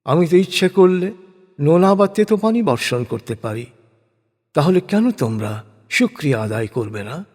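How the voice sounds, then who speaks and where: A man reading a translated scripture as solemn scripted narration, in a measured, declamatory way. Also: on the high side, very animated, a little mellow. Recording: long hall-like reverb on the voice.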